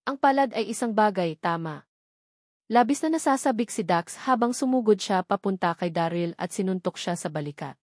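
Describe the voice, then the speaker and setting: A woman's voice: mid-range voice, lively intonation, moderate pace, a little mellow, no room sound, studio quality. A woman reading a scripted story as an audiobook narrator.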